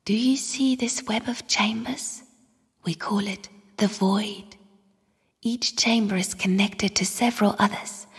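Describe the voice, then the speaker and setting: An actress playing a mysterious, sorrowful young spirit in a video game, speaking in a hushed, solemn, airy whisper. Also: female voice, medium pitch, husky. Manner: slow, hushed, solemn delivery. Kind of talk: video game character voice acting. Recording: long cavernous reverb.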